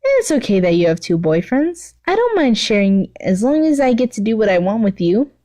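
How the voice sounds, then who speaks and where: A woman's voice: mid-range voice, sing-song, normal speed, dark tone, natural voice. A woman voicing a gleeful, clingy, sweetly obsessive teenage girl villain in an amateur story reading, gushing in a sing-song way.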